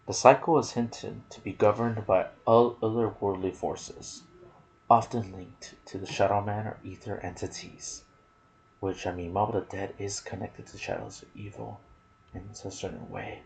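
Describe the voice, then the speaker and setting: Man, ASMR game narration, hushed and breathy, gravelly fry, musing tone, noisy background. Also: lowish voice, expressive, a little slow. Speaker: gaming video narrator. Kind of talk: ASMR game lore narration with casual asides.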